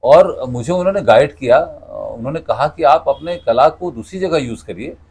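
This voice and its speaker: A man's voice: on the high side, animated, normal speed, a little mellow, slightly rough. A middle-aged man, answering interview questions conversationally and explaining through anecdotes. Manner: explanatory, tells anecdotes with quoted dialogue. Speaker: interview guest, cartoonist and radio announcer.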